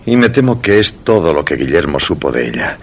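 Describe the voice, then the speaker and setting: An actor with a Spain accent playing a wry, resigned husband in radio drama dialogue. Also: male voice, extremely low pitch, very animated, a little fast, husky, full character voice. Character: wry, worldly husband commenting on the tale.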